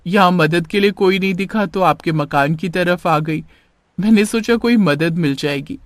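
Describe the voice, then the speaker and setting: A man's voice: sits high, normal speed, no room sound, clean studio sound, pitched above the performer's own voice, close to an everyday voice. A man voicing a polite young woman, stranded and mysterious, in a horror cartoon story. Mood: polite, pleading. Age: young adult.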